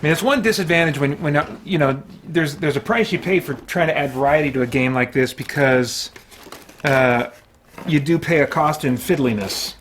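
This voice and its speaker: A man with a voice pitched a little above average hosts a solo tabletop game. He talks casually and without a script, thinking aloud in a halting way as he narrates his own play to himself and the viewer. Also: fairly bright tone, a little hiss or hum.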